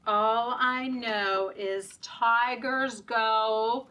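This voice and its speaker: Lady doing kids storytime, really slow and sing-songy, bright highish voice, bit far from the mic. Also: fairly even intonation. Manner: slow, deliberate, encouraging, asking children questions. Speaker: children's librarian leading story time. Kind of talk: sing-song story time for young children.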